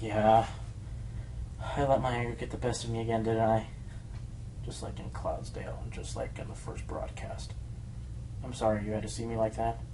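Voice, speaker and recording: A man's voice: on the low side, little pitch movement, moderate pace, pauses often, background noise, lightly acted. A man playing an earnest young pony radio host in a radio play, hot-tempered but unsure of himself. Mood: humbled, unsure. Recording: loud music behind the voice.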